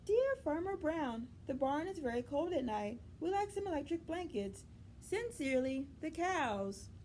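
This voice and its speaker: A woman voicing polite but firm farm animals in a children's storybook, reading out their letters in a formal, measured way. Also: sits high, big pitch swings, a little mellow, slight background noise, pitched above the performer's own voice. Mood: politely requesting.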